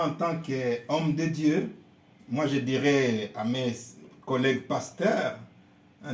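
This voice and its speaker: A man from Congo, a pastor answering interview questions in a slow, halting way and often repeating his words. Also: mid-range voice, darkish tone. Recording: roomy echo.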